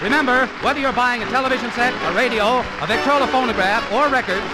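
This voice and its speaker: A man's voice: extremely high pitch, fast talker, over a noisy crowd, poor audio. An American man reading a sponsor announcement as a 1950s radio announcer. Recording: old radio with music and audience.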